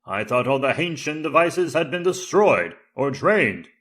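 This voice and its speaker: A man voicing an ancient enchanted tiger saber in an audio drama, formal, flattering and theatrical. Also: fairly high pitch, unhurried, pitched above the performer's own voice. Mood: stately. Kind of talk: audio drama character acting.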